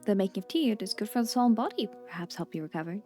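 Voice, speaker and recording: A woman voicing a kindly male tea shop owner who speaks with formal, quaint, gently polite phrasing. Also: medium pitch, lively intonation, very fast, soft background music, lightly acted. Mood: cheerfully curious.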